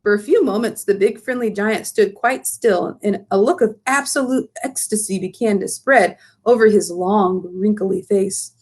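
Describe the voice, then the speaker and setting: A woman reading a children's storybook aloud as expressive scripted narration. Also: medium pitch, normal speed, few pauses, husky. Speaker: storybook reader.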